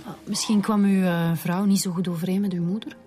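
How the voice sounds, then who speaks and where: An actress with a Flemish accent playing a frank, matter-of-fact police detective in a conversational radio drama style. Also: female voice, lowish voice, steady tone, brisk, dark tone, natural voice. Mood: probing. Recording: faint background music.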